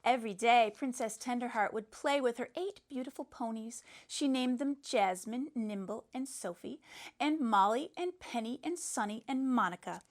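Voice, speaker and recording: A woman's voice: on the high side, moderate pace, lightly acted. A woman voicing a sentimental schoolgirl who earnestly and dramatically tells a princess fairy tale from a storybook. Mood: sweet, dramatic.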